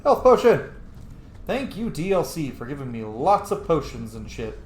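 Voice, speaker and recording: Sweary, high-pitched male gamer in a roomy space. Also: big pitch swings, normal speed, slight background noise. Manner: sweary, playful. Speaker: gaming streamer. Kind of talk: casual let's play commentary.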